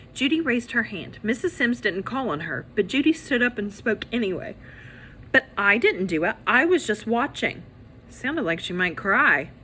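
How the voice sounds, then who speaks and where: A woman reading a children's story aloud as a teacher, in an expressive, animated way that acts out the characters. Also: on the low side, a little hiss or hum, low-quality recording.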